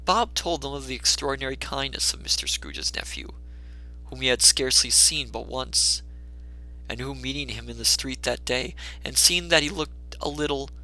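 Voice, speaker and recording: A man narrating a classic story as a dramatic reader, measured and expressive. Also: mid-range voice, rough recording.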